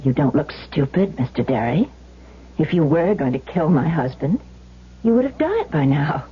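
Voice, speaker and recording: An actress playing a self-assured, challenging wife with pointed delivery in a 1970s radio drama. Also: female voice, low voice, sing-song, normal speed, breathy edge, full character voice. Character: cool, challenging wife of a marked man. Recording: dull lo-fi 1970s radio recording.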